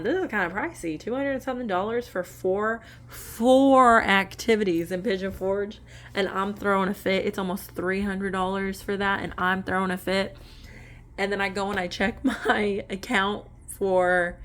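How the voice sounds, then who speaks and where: A woman's voice: mid-range voice, measured pace, a bit bright. A woman in her late twenties chatting casually while sorting cash into budget envelopes, rambling and correcting herself with small laughs. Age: late 20s. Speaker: budgeting vlogger.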